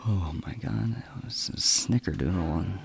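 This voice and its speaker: A man's voice: sits low, moderate pace, creaky, faint music behind. A man playing an easygoing, loyal adventurer in a fantasy audio drama. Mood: groggy.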